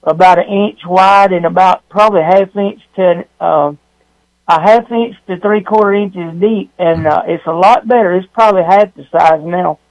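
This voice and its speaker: Man caller, high voice, halting sincere phone-in talk, dry sound. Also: no room sound, muffled recording. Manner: halting, many fillers and restarts, sincere. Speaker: radio show caller. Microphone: phone line.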